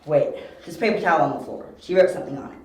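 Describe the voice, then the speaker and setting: A man acting a sharp, self-assured young detective in an amateur audio drama, talking in clipped deductions and questions. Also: fairly high pitch, racing, close to an everyday voice. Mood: investigative. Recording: roomy echo, faint background noise.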